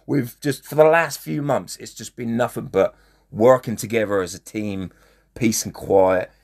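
A man with a hoarse, fading voice, chatting casually as he comments on a mobile strategy game and gives friendly shout-outs. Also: deep voice. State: losing his voice, hoarse. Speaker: gaming channel host.

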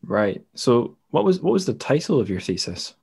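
A man's voice: medium pitch, sing-song, moderate pace, gravelly, darkish tone, breathy edge. A man hosting a podcast, asking thoughtful questions in a casual interview style.

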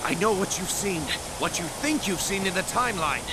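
An actor playing a brooding young warrior prince in a video game, with an earnest, intense, theatrical delivery. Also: male voice, high-pitched, lively intonation, normal speed, heavy background noise. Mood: urgent, pleading. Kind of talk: dramatic video game cutscene acting.

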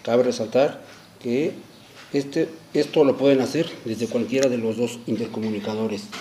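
Man, middle-aged, medium pitch, step-by-step tutorial narration, deliberate short phrases, recorded indoors at home. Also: fairly even intonation, dark tone, a little hiss or hum. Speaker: product demonstrator, host. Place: small indoor room at home.

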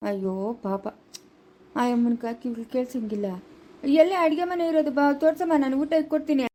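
A woman voicing a gentle grown man who coaxes a crying child to eat, speaking slowly. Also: sits high, steady tone, pitched below the performer's own voice, close to an everyday voice. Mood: soothing. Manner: soothing, coaxing, slow.

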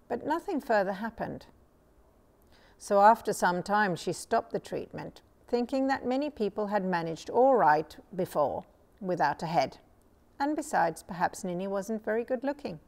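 A middle-aged woman reading a children's story aloud as a storyteller, measured and expressive. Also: medium pitch. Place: small furnished room indoors. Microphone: lapel mic.

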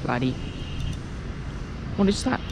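An Australian man giving laid-back, slangy commentary while fishing by a harbour, recorded on a body-worn action camera. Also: takes it slowly. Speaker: angler filming a fishing vlog. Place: outdoors on a harbour waterfront walkway. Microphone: action camera worn on head or chest. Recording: loud outdoor city and waterside noise.

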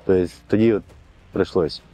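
A man's voice: low voice, fairly even intonation, normal speed, mellow, rough recording. A young man, a soldier, answering interview questions outdoors in an open field. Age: young adult.

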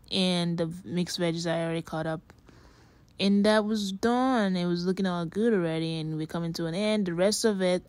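A woman narrating her cooking video in a relaxed, chatty way, with frequent fillers. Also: on the low side, no room sound. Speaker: vlogger.

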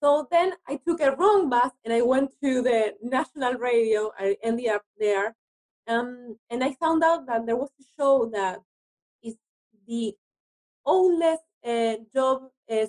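A woman's voice: fairly high pitch, low-quality recording. A woman from Argentina, a native Spanish speaker with an Argentinian accent, talking conversationally as an interview guest about her work as an actress.

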